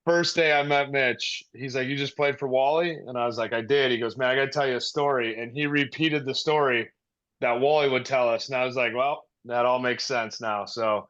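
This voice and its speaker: A man's voice: mid-range voice, steady tone, brisk, fairly bright tone. Muffled man swapping old stories. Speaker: podcast guest, former baseball player. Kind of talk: casual reminiscing chat.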